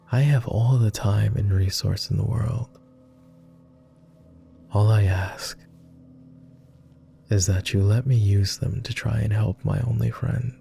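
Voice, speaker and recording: A man's voice: on the low side, creaky, dark tone. A man voicing a devoted, world-weary immortal vampire companion in intimate roleplay ASMR, speaking tenderly and earnestly in formal phrasing. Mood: insistent, devoted. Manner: tender, earnest, hesitant, somewhat formal speech.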